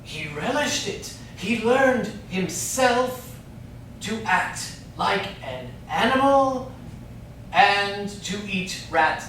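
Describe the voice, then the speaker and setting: A woman's voice: mid-range voice, animated, drawn-out words, fairly bright tone. A woman performing a solemn chorus voice in an absurdist play, reciting theatrically on stage. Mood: grave, deliberate. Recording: very echoey distant stage, noisy.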